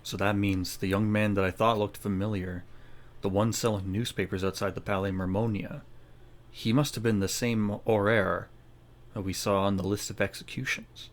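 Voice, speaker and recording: A man's voice: lowish voice, level tone, moderate pace. A man giving reflective commentary on a game playthrough, thinking aloud as he goes. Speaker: gaming streamer.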